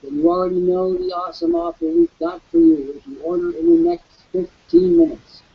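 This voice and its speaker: A man hosting a live online webcast, with a croaky voice, giving an emphatic, preacherly sales pitch. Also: very animated, normal speed, narrow, tinny audio. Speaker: webcast host.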